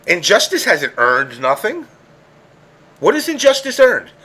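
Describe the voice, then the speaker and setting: A man's voice: wildly swooping pitch, a little slow, sharp tone, slightly rough. A man co-hosting a podcast, arguing his point in an emphatic, heated casual debate. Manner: emphatic, argumentative, rising to high pitch when pressing a point. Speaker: podcast co-host.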